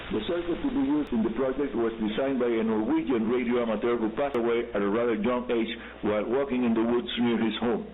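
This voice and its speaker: Man, Cuban accent, Spanish native, radio host, scripted and deliberate presentation, thin crackly shortwave signal. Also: medium pitch. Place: radio broadcast picked up on shortwave. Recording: hissy, humming narrow-band shortwave radio reception.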